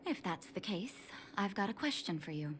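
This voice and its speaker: An actress playing a calm, shrewd underworld doctor in a video game, poised and deliberate with a probing manner. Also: female voice, medium pitch, a little hiss or hum, close to an everyday voice. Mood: pointed questioning. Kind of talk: scripted video game voice acting.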